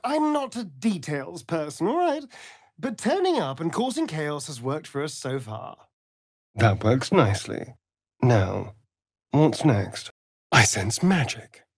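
An actor with an English accent playing a sly, vain, mocking vampire elf rogue in a fantasy game, arch and teasing in manner. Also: male voice, mid-range voice, vocal fry, husky, no room sound, studio quality, exaggerated voice. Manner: arch, languid, teasing, theatrical. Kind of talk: theatrical fantasy game voice acting.

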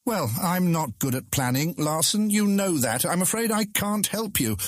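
A man voicing a sly lord who feigns humble loyalty, with a very raspy voice and formal speech in a fantasy audiobook. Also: sits high, lively intonation, normal speed, dry recording, clean studio sound, voice pushed up. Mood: feigned humility. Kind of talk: audiobook character acting.

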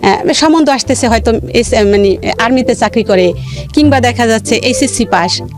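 A woman's voice: fairly high pitch, moderate pace. A woman with a Bangladeshi accent telling her life story in a radio interview, emphatically repeating phrases for stress. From rural Bangladesh. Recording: music under the voice.

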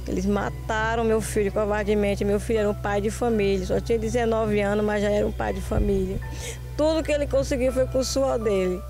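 A woman's voice: mid-range voice, steady tone, fairly quick. Grieving Brazilian mother demanding justice. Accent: Brazilian Portuguese. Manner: pleading, indignant, insistent. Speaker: victim's mother. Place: outdoors at a cemetery. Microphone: handheld interview mic. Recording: soft music bed behind voice.

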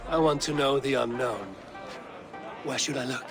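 A man playing a brooding, gruff Norse warrior god in a video game, speaking in terse, solemn, deliberate phrases. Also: medium pitch, over music, crowd noise, natural voice. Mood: calm, curious. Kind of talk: video game cutscene acting.

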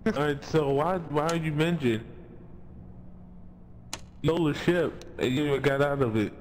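A man's voice: on the high side, normal speed. A man talking casually over in-game voice chat as a player in a sci-fi roleplay game. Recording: noisy game mic, loud vehicle ambience.

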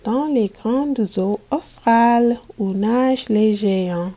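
A woman reading a picture book aloud to children as a teacher, in a deliberate manner made for learners. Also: on the high side, warm tone. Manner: deliberate, repeats sentences for learners.

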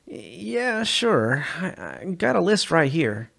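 Brief: male narrator doing a sly raspy smuggler boss, high and jittery. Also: huge pitch swings, slow pace, a bit bright, exaggerated voice. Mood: nervous bluster.

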